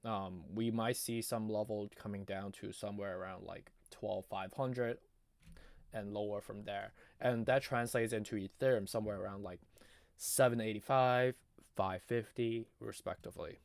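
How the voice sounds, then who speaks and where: Male video host, lowish pitch, halting off-the-cuff analysis, voice tired and giving out, dry recording. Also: fairly even intonation, vocal fry, dry recording. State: losing his voice, often clearing throat. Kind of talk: casual improvised technical chart commentary.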